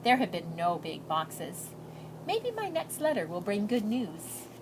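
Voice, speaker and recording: An earnest, shy young girl shares her letters in a storybook, voiced by a woman. The delivery is heartfelt and lilting, at a medium pitch. A little noise sits behind the voice. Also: sing-song, a little slow. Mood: earnest, hopeful.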